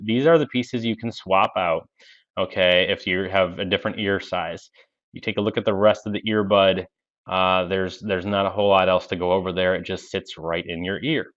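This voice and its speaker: A man, giving a casual product demo and explaining things step by step. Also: deep voice. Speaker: presenter of product review videos. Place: small indoor office. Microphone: Bluetooth headset microphone. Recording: dull lo-fi headset sound.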